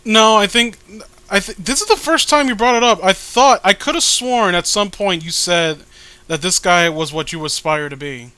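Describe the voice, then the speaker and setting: A man with a high, bright voice gives casual comedic commentary as he reads along. His sound is clear and smooth, and his manner is sarcastic and self-deprecating. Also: moderate pace, dry recording. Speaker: co-host reading a comic aloud.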